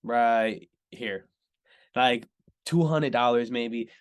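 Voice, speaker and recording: A man's voice: mid-range voice, very slow, clear, resonant tone. A young man with a slightly congested voice talks through a trading chart in a loose, chatty way. He keeps checking in with "right?" and gets worked up when a setup lines up, sounding like a mentor showing his own trades. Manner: casual, says "right?" constantly, excitable. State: a little congested. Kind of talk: screen-share chart breakdown.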